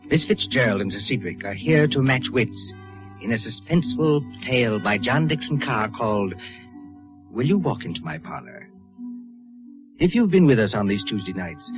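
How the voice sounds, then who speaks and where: A man playing a suave, ominous mystery narrator in measured, formal 1940s radio suspense style. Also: mid-range voice, lightly acted. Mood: ominous, inviting. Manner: measured, formal, dramatic delivery. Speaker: narrator and program host. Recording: muffled vintage radio recording, music behind.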